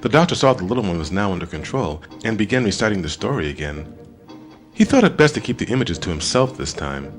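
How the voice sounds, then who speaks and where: A man reads an audiobook as a dramatic storyteller. His voice is on the low side, with lively rises and falls. He uses deliberate pauses, and faint music plays underneath. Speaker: audiobook narrator. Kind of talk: dramatic scripted narration.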